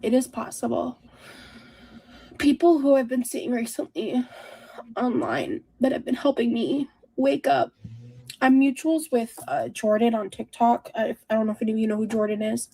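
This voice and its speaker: A woman streamer talking personally to camera in a halting, emotional, self-reproaching way. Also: a little high, animated, warm tone. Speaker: streamer, in a clip being reacted to. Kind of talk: personal confessional talk to camera.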